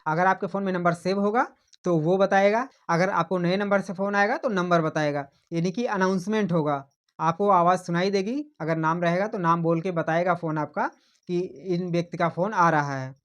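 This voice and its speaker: A man hosting a tech tutorial. He walks patiently through phone settings step by step, repeats his points and keeps pointing things out. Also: high voice.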